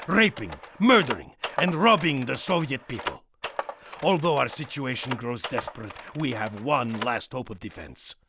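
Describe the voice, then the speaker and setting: A man's voice: mid-range voice, vocal fry, noisy background. A man voicing a stern, declamatory Soviet officer with a Russian accent, rallying troops in a war game. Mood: grave, impassioned. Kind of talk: wartime propaganda speech.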